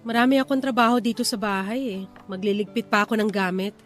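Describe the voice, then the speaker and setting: A woman's voice: medium pitch, fast, soft background music, natural voice. A woman with a Filipino accent playing a grieving, withdrawn wife, sad and pleading, in a radio drama. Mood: withdrawn, downcast.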